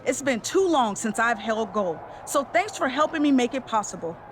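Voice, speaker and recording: A woman's voice: a little high, animated, normal speed, a little hiss or hum, close to an everyday voice. A woman playing a self-assured, friendly pro wrestler in a video game cutscene, with a casual, dry delivery.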